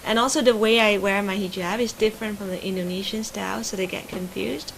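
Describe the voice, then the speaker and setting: A woman teacher answering interview questions in a calm, explanatory way. Her is Cantonese, and her voice sits at a middle pitch. A faint background hiss runs under her speech. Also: fairly quick, vocal fry, low-quality recording.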